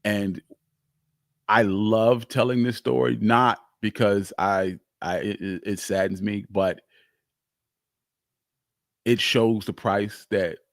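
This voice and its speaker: A man's voice: on the low side, fairly even intonation, takes it slowly, long pauses, dry recording, studio quality. A man hosting a live history talk, telling the story emphatically and repeating phrases for weight. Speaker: host of a history show.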